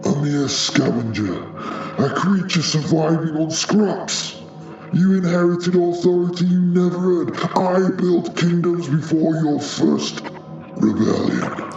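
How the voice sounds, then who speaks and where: A man's voice: on the high side, slow pace, darkish tone. A man playing a proud, menacing ancient demon duke in an audio drama, with a lugubrious, pompous, declaiming delivery. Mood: ranting, scornful. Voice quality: lugubrious, sickly-smooth. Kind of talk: theatrical audio drama villain. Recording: muffled, echoing, with music underneath.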